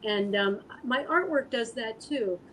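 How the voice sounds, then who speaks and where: A woman speaking as an interview guest, answering casually in an earnest, halting, thoughtful way. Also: on the high side, expressive, slight background noise. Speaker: guest artist and animal rescue volunteer.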